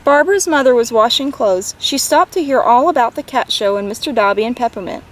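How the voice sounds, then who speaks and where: Higher-voiced woman reading a children's storybook. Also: expressive, a little fast. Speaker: read-aloud host. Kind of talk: expressive storybook read-aloud for children.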